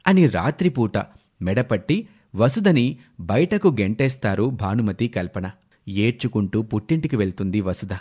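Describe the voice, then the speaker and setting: A man's voice: lowish voice, animated, normal speed, dark tone, slightly rough. A man narrating a scripted story in the role of storyteller.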